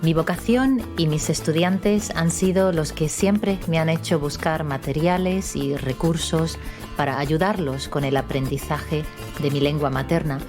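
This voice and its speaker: A woman's voice: low-pitched, a little slow, music in the background. A middle-aged woman from Málaga, a Spanish teacher, hosting a podcast and interviewing a guest with encouraging backchannels. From Spain, Málaga. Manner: warm encouraging interviewer with frequent ujú backchannels. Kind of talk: scripted intro then interview questions.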